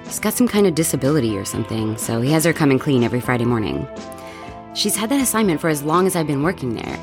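Young woman, acted, gossipy, bold, dramatic, very animated pitch, audio drama, music underneath. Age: young adult. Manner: animated, teasing, dramatic storytelling. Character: excitable young woman roommate, gossipy, bold, scheming to party. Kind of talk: horror audio drama dialogue.

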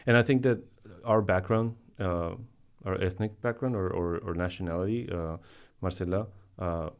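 Low-voiced guy from colombia, native spanish speaker, chatting on a podcast, kinda halting. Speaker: professor, podcast guest. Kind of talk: casual podcast interview talk.

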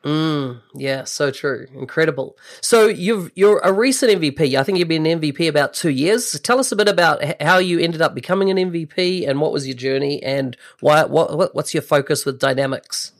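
A man's voice: a little high, a little fast, hardly any pauses. A man hosting an interview, asking questions in a friendly, conversational way. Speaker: podcast host. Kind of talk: casual interview.

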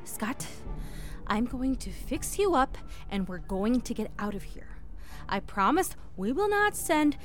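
A high-voiced, resourceful woman hiker in an audio drama. She is naturalistic and rambling under pressure, swearing yet reassuring. Soft music sits behind her. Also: very animated, measured pace. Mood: determined, reassuring. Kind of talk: full-cast audio drama acting.

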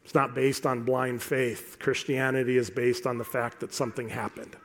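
A middle-aged man preaching a sermon to a congregation in an earnest, emphatic way, repeating phrases deliberately for effect. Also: mid-range voice, level tone, a bit bright, a little hiss or hum.

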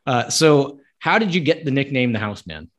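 A man's voice: medium pitch, very animated, a little fast. A man interviewing a guest, chatty and casual as he asks his questions.

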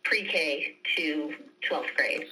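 A woman's voice: deep voice, very slow, sharp tone, slightly rough, poor audio. A woman giving conversational interview answers as a school mental health coordinator.

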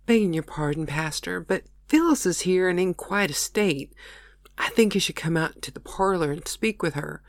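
A woman reading aloud, voicing a worried older housekeeper who speaks in a fretful, hushed way. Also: lowish voice, expressive, moderate pace, no echo. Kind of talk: reading her own novel aloud.

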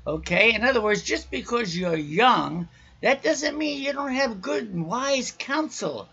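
Man, raspy and husky, loud raucous podcast preacher, muffled lo-fi recording. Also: extremely high pitch, expressive, measured pace. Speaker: podcast host.

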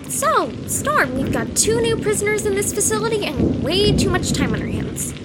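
A woman's voice: very high voice, very animated, normal speed, heavy background noise. A woman playing a chatty, eager, slightly flustered fifteen-year-old girl in an audio drama.

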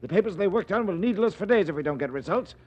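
A man's voice: sits high, lively intonation, racing, slightly rough. An American actor playing an irate, impatient police commissioner in 1940s radio style, hectoring and barking his lines. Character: blustering, irate police commissioner demanding fast results. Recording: dull, lo-fi vintage 1940s radio recording.

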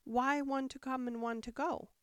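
A woman's voice: high-pitched, steady tone, normal speed, clear, resonant tone, no room sound, studio quality, voice pushed up, lightly acted. A woman voicing a polite, curious and sensible young girl in a storybook reading, with a questioning manner. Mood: apologetic. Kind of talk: storybook character voice.

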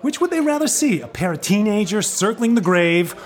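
Pushy show-business adviser, high-pitched, very lively intonation, glib, patronizing, podcast comedy. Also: male voice, fairly quick. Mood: cajoling. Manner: flippant, salesman-like, condescending. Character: pushy, glib male theatre pro giving advice. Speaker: actor. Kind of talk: comic podcast play dialogue.

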